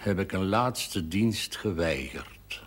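An actor playing a seventy-six-year-old priest, speaking slowly and solemnly in a husky voice, in a 1970s television drama. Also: male voice, low voice, expressive, exaggerated voice. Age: 70s. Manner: slow, measured, solemn delivery. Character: old male priest, wise and melancholy.

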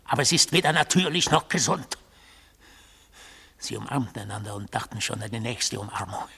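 An actor playing a stern, judging man in a radio drama, speaking solemnly and rhetorically in a rough voice. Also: lowish voice, animated, fairly quick, sharp tone, full character voice. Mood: severe, bitter. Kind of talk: literary radio drama monologue.

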